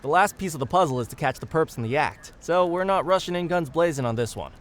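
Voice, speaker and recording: A man's voice: on the high side, lively intonation, fast, lightly acted. An actor playing a commanding, hard-boiled male police detective giving an emphatic briefing in a video game. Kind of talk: video game cutscene dialogue.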